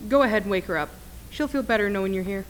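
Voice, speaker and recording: A woman playing a calm, dismissive doctor in a radio play, speaking formally and reassuringly over a noisy recording. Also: mid-range voice, fast, close to an everyday voice. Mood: brisk reassurance.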